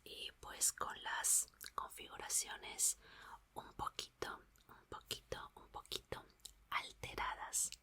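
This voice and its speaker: A woman whispers slowly in a soothing ASMR roleplay, as a gentle, reassuring scientist. Her whisper is bright and crisp-edged, and she repeats small words softly. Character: gentle, reassuring female scientist repairing an android.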